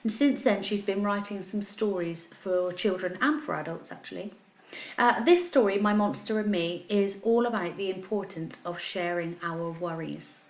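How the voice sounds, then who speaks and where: An echoey recording of a woman with a mid-pitched voice, speaking as a primary teacher to young pupils. She delivers her words in an expressive storytelling style, leaning on key words and keeping things simple and clear for children. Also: normal speed, lo-fi sound. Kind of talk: children's story read aloud, addressing pupils. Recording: echoey, roomy sound.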